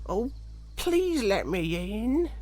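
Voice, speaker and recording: A squeaky old woman peddler from a storybook, played by a man, high-pitched and slow. The manner is sly and wheedling, with a lively, coaxing lilt. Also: heavily acted. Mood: pleading.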